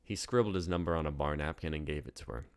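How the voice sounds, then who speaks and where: Low-voiced man narrating fiction. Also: fast, smooth, no echo. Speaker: author reading own novel.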